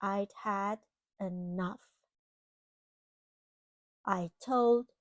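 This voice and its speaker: A woman reading a children's picture book aloud, expressively, pausing to explain things to young listeners. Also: a little high, darkish tone, dry recording. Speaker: children's storybook reader. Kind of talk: read-aloud storytelling to young children with simple asides.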